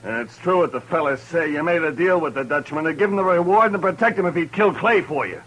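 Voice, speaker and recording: Angry vengeful frontier guy, threatening, slightly high voice, old radio drama. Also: quick pace, fairly bright tone, poor audio. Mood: hostile. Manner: hostile, accusing, threatening. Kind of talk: 1950s radio acting.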